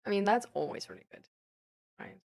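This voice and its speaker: A woman's voice: medium pitch, huge pitch swings, brisk, vocal fry, a little mellow. A young adult woman chatting casually as a live streamer, explaining things in an informal, rambling way with lots of filler tags. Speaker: live streamer talking with chat viewers. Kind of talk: casual livestream chat about mental health and self-improvement.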